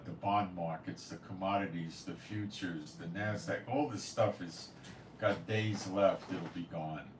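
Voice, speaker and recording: A man hosting a prophecy commentary channel, talking in a casual, rambling monologue with a blunt, scoffing, opinionated manner. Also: deep voice. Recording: echoey room, far from the mic, faint noise.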